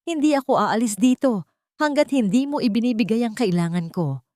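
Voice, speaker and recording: Woman acting a drunk, menacing ex-boyfriend, pitched up. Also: lively intonation, normal speed, dry recording, clean studio sound, close to an everyday voice. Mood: angry, demanding. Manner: loud, desperate, demanding. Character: drunk, menacing, manipulative ex-boyfriend, begging then raging. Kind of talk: audio romance storytelling.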